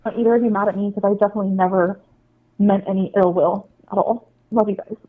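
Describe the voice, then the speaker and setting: A woman leaving a halting, pleading apology on voicemail, sounding anxious and close to tears. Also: medium pitch, steady tone. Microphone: phone. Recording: tinny, narrow-band phone voicemail.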